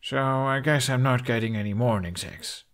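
A man's voice: medium pitch, normal speed, fairly bright tone, pitched above the performer's own voice, natural voice. A man voicing a wry, casual young man who half-jokes, in an audiobook.

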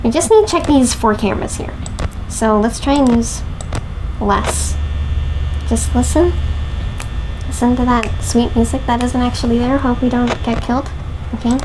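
A woman's voice: on the high side, normal speed, pauses often, noisy background. A young woman with a headset, playfully commentating a horror game, chatting to her viewers and teasing the game characters. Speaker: gaming video host.

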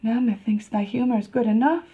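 A woman's voice: a little high, normal speed, breathy edge, highs cut off. A woman playing a passionate, wronged young princess in a classical Shakespearean dramatic monologue, intense and emotional. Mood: indignant anguish.

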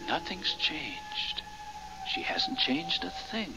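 An actor playing a mild, hesitant, newly dead husband as a ghost in a 1970s radio drama. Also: male voice, on the high side, huge pitch swings, takes it slowly, gravelly, over music, full character voice, rough recording. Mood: wistful. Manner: plain, unassuming. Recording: slightly hissy 1970s radio recording.

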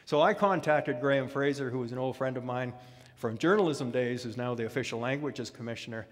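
An older man tells a story to a hall, speaking into a headset mic and often pausing to restart his sentences. His voice sits at a mid pitch. A long reverb from the large room trails behind his words. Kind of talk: storytelling talk. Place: dark auditorium stage.

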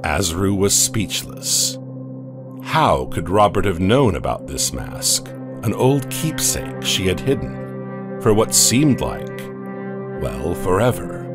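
Very deep slow male narrator. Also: big pitch swings, music in the background. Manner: slow dramatic storytelling. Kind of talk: scripted horror narration.

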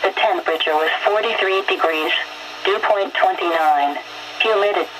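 Lowish synthetic female voice on noisy radio. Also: normal speed. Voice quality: synthetic, robotic. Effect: radio speaker. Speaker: weather radio announcer. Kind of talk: automated scripted weather observations. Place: played from weather radio on wooden desk. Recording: noisy weather radio, re-recorded in room.

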